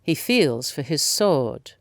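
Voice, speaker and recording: Woman reading out stage directions, lowish voice, scripted, very dry studio sound. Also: sing-song, slow, dry recording. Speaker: narrator.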